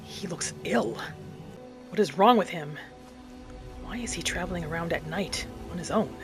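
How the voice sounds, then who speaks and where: A woman's voice: on the low side, expressive, normal speed. A woman giving in-character roleplay commentary over a game, musing aloud in a dramatic manner. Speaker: gaming roleplay narrator. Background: faint music.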